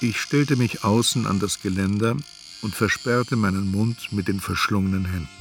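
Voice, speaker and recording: An actor playing an introspective first-person narrator in a literary radio play. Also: male voice, lowish voice, normal speed, background noise, natural voice.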